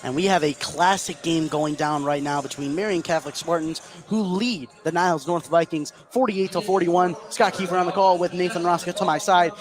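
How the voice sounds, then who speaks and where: A man doing live basketball play-by-play commentary, excitable and emphatic on the big plays. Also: on the high side, fairly quick, few pauses.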